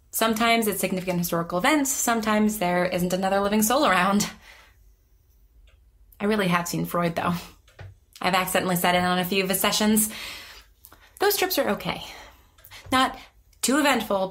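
An actress playing an anxious, lonely woman in her twenties, speaking haltingly and self-deprecatingly in a naturalistic audio drama. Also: medium pitch, a bit bright, lightly acted. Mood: guarded, matter-of-fact. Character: anxious, lonely young woman who vanishes through time.

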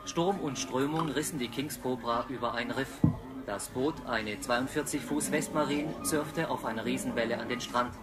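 An actor playing a sober reporter, narrating a factual account in a radio drama. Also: male voice, mid-range voice, moderate pace, lightly acted. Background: music.

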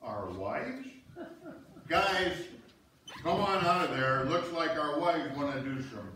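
A man playing an amused, unhurried ice-fishing husband in an amateur stage reading. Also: fairly high pitch, expressive, sharp tone, exaggerated voice. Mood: amused surprise. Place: church sanctuary, live stage reading. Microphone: distant audience camera. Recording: noisy echoey church hall from far off.

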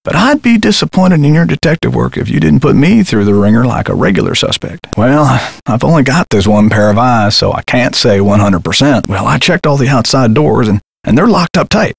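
Husky, lively old theater projectionist. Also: male voice, mid-range voice, a little fast, hardly any pauses, no room sound, full character voice. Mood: concerned, friendly. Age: older. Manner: folksy, old-fashioned turns of phrase. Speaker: game character voice actor. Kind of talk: scripted video game character dialogue.

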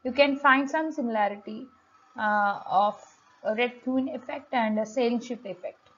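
Woman, high voice, halting explanatory lecture, frequent pauses, dull lo-fi recording. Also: a bit bright. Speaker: lecturer, host of an economics channel. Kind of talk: explanatory lesson read from slides.

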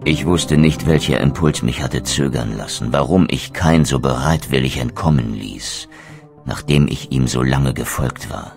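Ein Schauspieler spricht einen bitteren, stolzen untoten Krieger in einem Videospiel, feierlich und wortgewandt. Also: male voice, extremely low pitch, measured pace, gravelly, faint music behind, full character voice. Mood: brooding. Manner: solemn, eloquent, theatrical. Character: bitter, proud undead wraith warrior, male. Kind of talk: dramatic video game narration and dialogue.

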